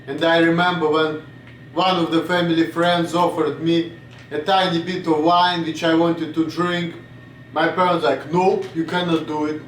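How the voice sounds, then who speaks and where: A man's voice: on the high side, little pitch movement, normal speed, a bit bright, far from the mic, slight background noise. Rambling Russian-accented young man, roomy echo. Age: 20s. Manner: blunt, swearing, drifts off topic. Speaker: vlogger. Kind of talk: rambling casual monologue.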